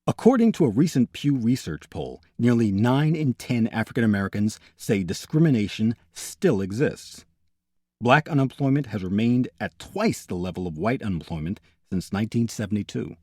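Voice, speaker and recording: Husky, expressive male documentary narrator. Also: lowish voice, moderate pace, no room sound, clean studio sound. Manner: serious, emphatic list-making. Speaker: narrator and host.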